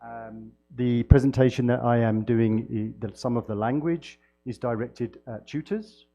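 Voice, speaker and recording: Loud mellow-voiced man giving a lecture. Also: medium pitch, highs cut off. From United Kingdom. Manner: friendly, halting with frequent fillers and restarts. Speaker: guest lecturer. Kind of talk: university lecture. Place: lecture hall. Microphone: handheld mic.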